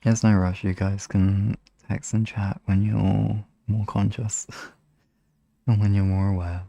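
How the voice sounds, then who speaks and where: A man chatting casually and softly with his listeners as an ASMR streamer, relaxed and unhurried. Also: on the low side, creaky, no echo. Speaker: ASMR hypnosis live streamer.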